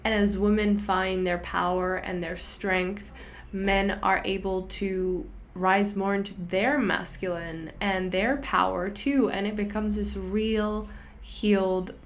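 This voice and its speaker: A woman, a spiritual healing teacher speaking earnestly and gently to viewers in short, flowing phrases. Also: medium pitch, flat delivery, takes it slowly. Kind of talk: personal monologue to viewers.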